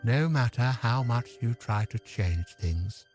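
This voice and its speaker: A man's voice: medium pitch, lively intonation, takes it slowly, darkish tone, soft background music. A man playing a lonely, regretful old man who bends time, speaking solemnly in an audio drama. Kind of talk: scripted audio drama acting.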